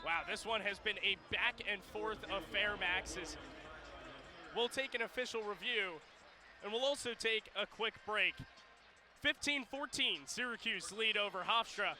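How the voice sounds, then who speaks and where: A man's voice: sits high, a little slow, sharp tone, soft background music. A young man doing radio play-by-play of a live game in clipped, descriptive phrases. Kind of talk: live sports commentary.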